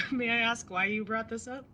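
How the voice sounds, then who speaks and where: A woman's voice: fairly high pitch, steady tone, measured pace, sharp tone, slight background noise. A woman playing a laid-back, blunt older sister in her young adulthood, casual and teasing, in a naturalistic audio drama. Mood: amused, embarrassed. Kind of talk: naturalistic audio drama dialogue and first-person narration.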